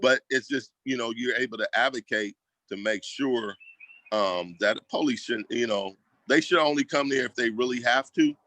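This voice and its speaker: Bright, forceful American man, emphatic and colloquial. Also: mid-range voice, moderate pace, no room sound, poor audio. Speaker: school district equity staff member and community advocate. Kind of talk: casual conversational interview.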